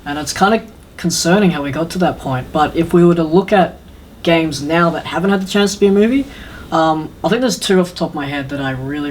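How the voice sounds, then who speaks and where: A panelist on a gaming podcast talks through opinions in a casual, conversational style. Faint room noise sits behind the voice. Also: medium pitch.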